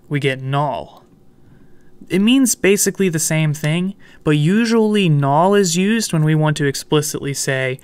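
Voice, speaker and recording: A young man explaining programming in a relaxed, friendly way over a screen recording, with light jokes. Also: on the high side, clear, resonant tone, dry recording. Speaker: programming instructor. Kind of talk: screen-recorded coding tutorial.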